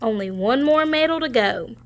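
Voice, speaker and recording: A woman voicing a cocky male turkey in a children's storybook, bragging and exclaiming loudly. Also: fairly high pitch, lively intonation, normal speed. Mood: cocky, boastful. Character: boastful, cheating male cartoon turkey, cocky show-off.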